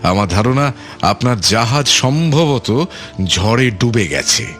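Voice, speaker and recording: An actor playing a controlling middle-aged island overlord in a radio play, deliberate and courteous with menace, repeating phrases with relish. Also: male voice, mid-range voice, expressive, fairly bright tone, faint music behind. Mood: guarded, lordly.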